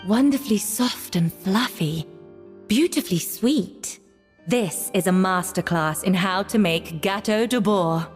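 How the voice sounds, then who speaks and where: A woman voicing a discerning, blunt female cake judge with a crisp, critical manner, in a game. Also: mid-range voice, unhurried, natural voice. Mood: critiquing. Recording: game audio, reverb, music behind.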